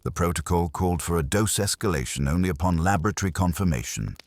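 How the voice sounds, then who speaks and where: A careful male doctor weighed down by guilt, read by a male narrator. He sounds deep and formal, choosing his words with resignation, in a dry mystery audiobook recording. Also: lively intonation, fast talker, dry recording. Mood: reluctant confession.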